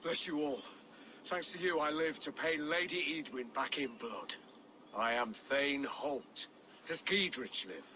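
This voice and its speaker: An actor playing a pious, vengeful medieval Saxon nobleman in a video game, declaiming forcefully. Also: a little high, a little slow, slight background noise. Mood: grateful, vengeful.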